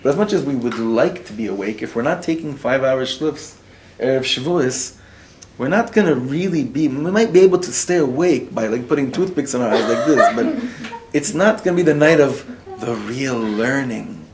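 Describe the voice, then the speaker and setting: A man's voice: mid-range voice, lively intonation, normal speed, slight background noise. A man, a rabbi, teaching a live class to an audience in the room, mixing Hebrew and Yiddish words into his talk and putting questions to his listeners. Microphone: recorded for web students.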